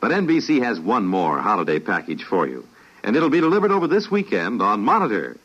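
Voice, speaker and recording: Theatrical low-voiced male announcer, very animated. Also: a little fast, husky. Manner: theatrical, portentous delivery. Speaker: radio announcer. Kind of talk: dramatic 1950s scripted radio announcing. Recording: noisy, muffled vintage radio recording.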